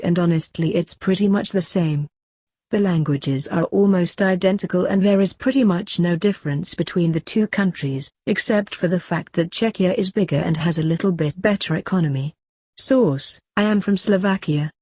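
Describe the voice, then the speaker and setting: Low, mellow female narrator. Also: no echo. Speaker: narrator reading forum posts. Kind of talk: even read-aloud narration.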